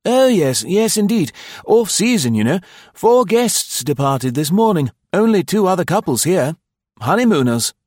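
A man's voice: high-pitched, sing-song, moderate pace, darkish tone, dry recording, studio quality, voice pushed up. A man voicing a cheerful, fussy British male guesthouse host in an audiobook, jaunty and effusively polite, with clipped phrases. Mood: cheerful, welcoming. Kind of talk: audiobook character voice.

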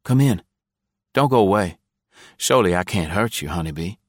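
A man's voice: deep voice, moderate pace, no echo, close to an everyday voice. A plucky young captive played by a male audiobook narrator talks in folksy, wheedling phrases. He sounds playful on the surface with desperate appeal underneath, in a dry, close recording. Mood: gentle coaxing. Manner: folksy, cajoling. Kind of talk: audiobook character acting.

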